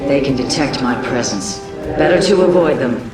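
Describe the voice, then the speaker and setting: Low, solemn alien warrior. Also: female voice, animated. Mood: solemn, guarded. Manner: formal, grave, measured. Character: solemn, dutiful female alien warrior assassin. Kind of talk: video game unit voice lines. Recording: loud music over the voice.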